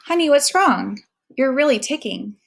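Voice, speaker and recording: A woman reading aloud the lines of a gentle, worried mother speaking to her teenage son. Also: sits high, expressive, normal speed, vocal fry, pitched above the performer's own voice, exaggerated voice. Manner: gentle, anxious. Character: worried, caring mother of a teen.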